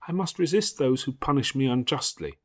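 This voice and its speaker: A man's voice: medium pitch, brisk, pitched above the performer's own voice, natural voice. A man voicing a passionate, defiant young girl in an audiobook, speaking urgently and emphatically in formal Victorian diction. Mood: indignant. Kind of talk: audiobook character acting.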